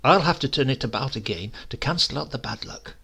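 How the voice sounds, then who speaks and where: A man plays a suspicious, dryly polite nephew in a storybook tale. The voice is husky and gravelly with a bright edge, and the words come out pointed and courteous. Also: mid-range voice, expressive, brisk, heavily acted. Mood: feigned casual. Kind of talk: acted dialogue in a storybook reading.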